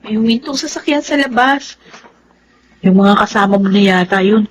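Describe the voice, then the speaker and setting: A Filipino woman playing a caring, sensible younger sister in a radio drama, with a breathy voice. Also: mid-range voice, normal speed, muffled recording, natural voice. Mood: sympathetic.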